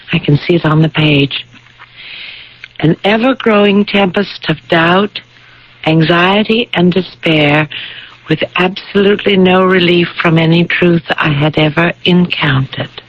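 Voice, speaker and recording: An actress playing a thoughtful, bookish young woman, speaking with deliberate dramatic phrasing in a radio mystery play. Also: on the low side. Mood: grave, absorbed. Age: young adult. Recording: dull vintage radio broadcast.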